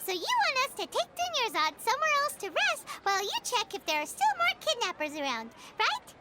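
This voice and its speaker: Tiny, very high squeaky fairy sidekick. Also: female voice, huge pitch swings, brisk, over loud music, exaggerated voice. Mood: curious. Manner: refers to self in third person, exclamatory. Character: tiny excitable childlike fairy companion, female. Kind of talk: video game character acting.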